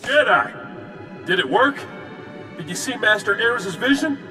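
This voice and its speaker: An actor playing a sickly, chatty, wry patient who helps a Jedi in a video game. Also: male voice, high-pitched, a little slow, faint music behind, full character voice. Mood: eager, sceptical. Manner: wry, animated, joking. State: frequent coughing fits. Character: sickly, wry, chatty male patient, Jedi's eager helper.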